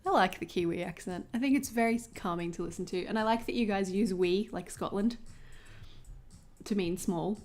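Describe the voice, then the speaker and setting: Australian woman, livestream host, fast, chatty, giggly, mid-pitched. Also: a little mellow, faint music behind. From northern New South Wales, Australia. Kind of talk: casual chat reading viewer comments while crafting.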